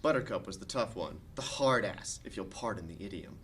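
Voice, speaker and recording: A man's voice: low-pitched, lively intonation, fairly quick, fairly bright tone, a little hiss or hum. A man reading stage directions and narration aloud as the narrator of a comedy script table read.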